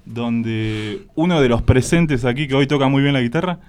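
A man's voice: mid-range voice, moderate pace, a little mellow, clean tone. A man, joking and telling stories on a radio show, laughing often. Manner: playful, storytelling, laughs often. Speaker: band member guest. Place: radio studio.

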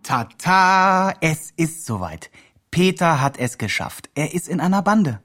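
A man's voice: a little high, sing-song, measured pace, a bit bright, exaggerated voice. An actor playing an earnest activist who narrates his friend's progress in the first person in a radio play. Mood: proudly announcing.

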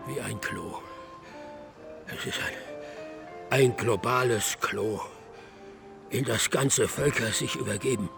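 A man's voice: mid-range voice, slow pace, gravelly, long pauses, over music. An actor in a German radio drama playing a distraught, guilt-ridden man, brooding and declamatory, who repeats himself in agitation. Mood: disgusted, anguished. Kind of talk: radio drama acting, literary monologue.

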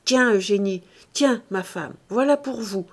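A woman's voice: medium pitch, measured pace, a little mellow, natural voice. A woman voicing a miserly old father in an audiobook, blustering and coaxing in short exclamations. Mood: eager, blustering.

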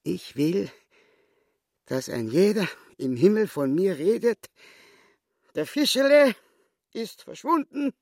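Radio play: sly, mocking Austrian crook, swooping delivery at a drawn-out pace, theatrical and gloating. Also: male voice, high voice, sing-song, a little mellow, no echo, studio quality, full character voice. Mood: boastful. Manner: theatrical, gloating, mock-courteous. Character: sly, boastful, mocking small-time swindler and chess player, male.

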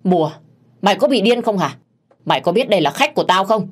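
A woman's voice: on the low side, quick pace, darkish tone, lightly acted. A woman voicing a domineering older mistress of the house in an audio story, scolding and barking orders. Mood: furious scolding.

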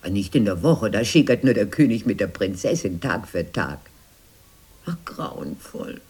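An actress playing an aggrieved aging fairy-tale sister in a radio drama, with a husky, rough voice and a plaintive, insistent manner. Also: female voice, extremely low pitch, animated, moderate pace, a little mellow, heavily acted. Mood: bitter, plaintive. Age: older.

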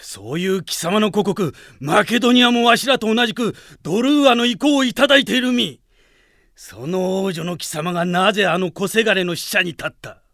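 An actor playing a proud, suspicious older general in a radio drama, with indignant, old-fashioned speech. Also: male voice, high voice, expressive, normal speed, fairly bright tone, no room sound, clean studio sound. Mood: angry distrust. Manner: indignant, blustering archaic speech.

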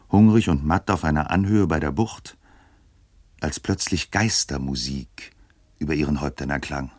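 A man's voice: sits low, no room sound. A man narrating a scripted story as the narrator of a radio play.

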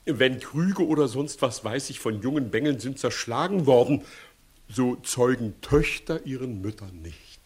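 Actor as blustering, drawling pompous village judge. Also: male voice, mid-range voice, lively intonation, measured pace, close to an everyday voice. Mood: pompous, hemming. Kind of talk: 1960s German radio play acting.

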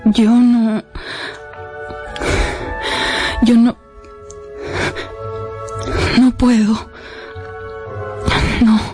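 A fragile, timid young maiden speaks slowly and breathily, full of hesitant feeling. Music swells behind this radio drama voice. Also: female voice, a little high, level tone, poor audio. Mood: distraught. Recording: music under the voice.

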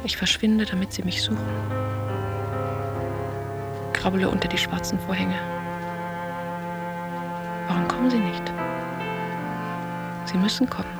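An actress playing an introspective, haunted woman who speaks in hushed, breathy fragments, as an inward monologue in a literary radio play. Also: medium pitch, moderate pace, long pauses, over loud music, natural voice. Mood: anxious, oppressed. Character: introspective woman recalling childhood and love, haunted, searching.

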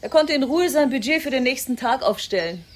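An actress playing a self-assured, patronizing zoo director in a German radio drama, declamatory and condescending. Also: female voice, sits high, animated, brisk. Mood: exalted, proud. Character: Andrea Burden, grandly self-assured, patronizing woman running a zoo project.

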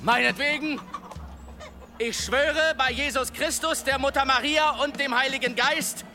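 A man's voice: very high-pitched, fairly even intonation, a little slow, bright, forceful tone, soft background music, heavily acted. An actor playing a wry, indignant male demon hunter in a German radio drama.

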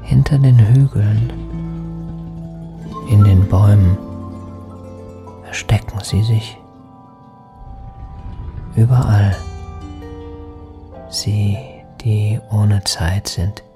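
An actor playing a dreamy lost soul in a poetic radio drama, speaking in halting, half-whispered phrases with long pauses. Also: male voice, lowish voice, fairly even intonation. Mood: trance-like, solemn. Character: lost soul, a dreamy male spirit seeking redemption. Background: music.

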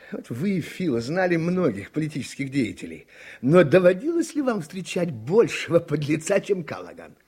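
An actor playing an irritable, combative newspaperman in a radio play, husky and emphatic, with a bitter edge. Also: a little high, a little mellow, heavily acted. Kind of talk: Soviet-style radio play acting.

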